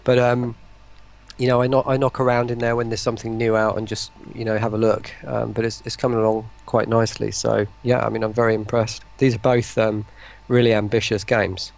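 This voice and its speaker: A man chatting casually as an interview guest, speaking in a hesitant, halting, self-deprecating way. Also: mid-range voice, little pitch movement, creaky. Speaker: interview guest, voice pack creator and writer.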